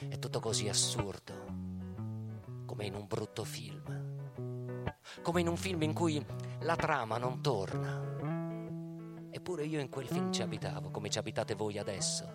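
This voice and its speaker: Radio theatre role: murdered young man speaking from death male actor, bitterly ironic, emphatic, mid-pitched, over music. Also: animated, unhurried, pauses often, lightly acted. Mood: bitter, brooding.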